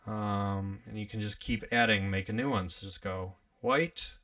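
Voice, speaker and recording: A young man with an upstate New York accent, hosting a casual live tutorial on music gear, chatty and relaxed, laughing often as he works. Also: on the low side, measured pace, far from the mic. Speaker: livestream host teaching music gear.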